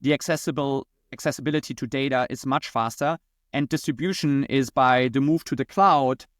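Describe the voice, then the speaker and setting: A man's voice: medium pitch, moderate pace, dry recording, studio quality. A man from Germany with a German accent, answering conversationally as a founder interviewed on a podcast. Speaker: podcast guest, company founder and CEO.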